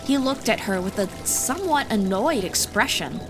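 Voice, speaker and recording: A woman's voice: mid-range voice, animated, moderate pace. A woman reading scripted fantasy story narration as the narrator of an audiobook. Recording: music behind the voice.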